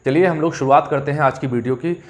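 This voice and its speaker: A man's voice: a little high, quick pace. A man speaks as a coaching teacher, informally explaining an exam update and addressing students directly with frequent check-ins. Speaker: coaching teacher and channel host.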